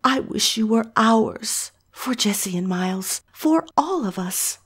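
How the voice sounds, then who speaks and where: A woman's voice: fairly high pitch, expressive, slow pace, slightly rough, heavily acted. A woman acting a tender, sorrowful motherly figure in an audio drama, speaking breathily and with emotion.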